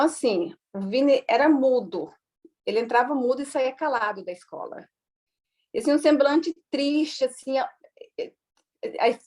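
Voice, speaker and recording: A woman's voice: high voice, darkish tone. Chatty Brazilian-born mother telling stories. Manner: chatty, many fillers, acts out quoted dialogue. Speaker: guest, immigrant mother. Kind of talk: casual interview storytelling.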